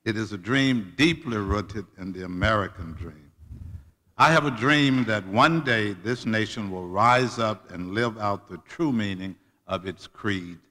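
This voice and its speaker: A man's voice: on the low side, measured pace, sharp tone. A man giving a dramatic, solemn reading of a famous-style oration as a reader at a commemorative event. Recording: reverberant hall.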